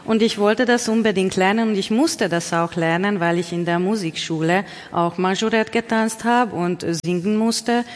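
A woman's voice: medium pitch, steady tone, normal speed, hardly any pauses. A woman with a Hungarian accent, a poet answering interview questions in a reflective, searching way, often restarting phrases. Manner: searching, restarts phrases, occasional article slips. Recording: long hall reverb.

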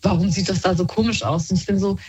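Husky woman guest on a dull line. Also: mid-range voice, fast. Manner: lively, frank, laughs while talking. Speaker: guest, tattoo artist. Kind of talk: casual chat.

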